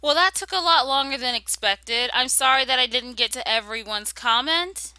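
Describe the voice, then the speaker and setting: A woman's voice: sits high, moderate pace, pushed and bright, faint music behind. A young woman doing a casual, humorous Q and A voice-over, reading questions and answering in a playful, sassy, conversational way. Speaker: YouTube animation creator answering viewer questions.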